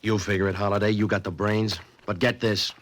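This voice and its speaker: A menacing tough-guy crook played by a man in an old radio mystery. The voice is low, and the talk is blunt, clipped and slangy. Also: little pitch movement, brisk, a bit bright, slight background noise, natural voice. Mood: gruffly threatening. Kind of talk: old-time radio drama acting.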